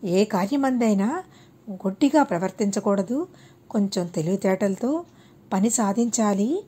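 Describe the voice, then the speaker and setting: A woman's voice: medium pitch, lively intonation, unhurried, mellow, husky. A woman voicing a learned male guru who instructs and admonishes his disciple in a children's storybook tale. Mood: admonishing. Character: learned male guru, patient but scolding teacher.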